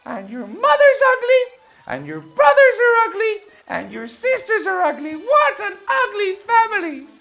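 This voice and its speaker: A woman's voice: very high-pitched, sing-song, normal speed, fairly bright tone, pitched above the performer's own voice, full character voice. A woman voicing mean, jeering farm and pond birds in a storybook, shrieking and mocking. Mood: taunting. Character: mean, jeering flock of pond ducks and chickens.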